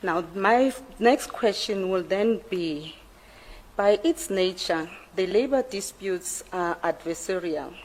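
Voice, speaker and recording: A woman serving as a panel commissioner, putting formal questions in a deliberate, courteous way. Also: fairly low pitch, a little mellow, rough recording. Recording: faint background room noise.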